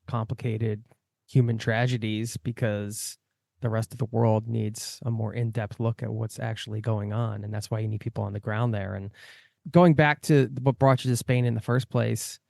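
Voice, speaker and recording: A man's voice: medium pitch, vocal fry, a little mellow, no room sound, clean studio sound. A man from the United States hosting a travel podcast, chatting casually and laughing often. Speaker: podcast host. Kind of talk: casual interview chat.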